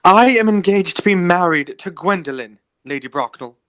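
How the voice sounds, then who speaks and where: Man as an indignant gentleman guardian, formal, restrained, close dry sound, radio play. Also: high-pitched, expressive, moderate pace, dry recording. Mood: defiant. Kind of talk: amateur radio play acting.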